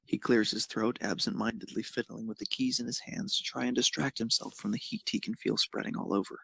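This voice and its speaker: A man's voice: fairly low pitch, fairly even intonation, a little fast, gravelly, fairly bright tone, husky, no room sound. A man reading scripted narration of a romantic fan fiction story.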